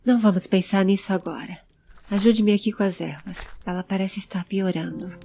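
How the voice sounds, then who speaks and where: A woman voicing a serious, pensive male adventurer who keeps calm and gives instructions in an audio drama. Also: mid-range voice, fairly quick, gravelly, a little hiss or hum, lightly acted. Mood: concerned. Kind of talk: audio drama character acting.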